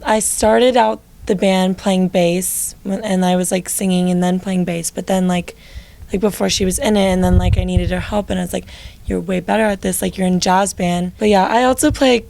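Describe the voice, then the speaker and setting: A young woman in her late teens, lead singer of a band, with a low, raspy voice, chatting casually with lots of filler likes in an interview. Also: a little mellow.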